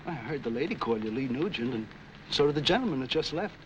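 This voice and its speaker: An actor playing a humble, plain-spoken, obliging street peddler in a 1940s crime film. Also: male voice, medium pitch, animated, fast, muffled recording, close to an everyday voice. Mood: humble, friendly. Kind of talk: 1940s film noir acting. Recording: noisy old film soundtrack, street ambience.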